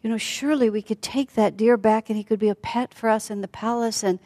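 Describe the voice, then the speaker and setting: A woman's voice: mid-range voice, unhurried, a little mellow, lightly acted. A woman voicing a young royal wife in a told story, insistent and emotional as she pleads. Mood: coaxing, entranced. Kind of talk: storytelling acted dialogue. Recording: hall with long reverb.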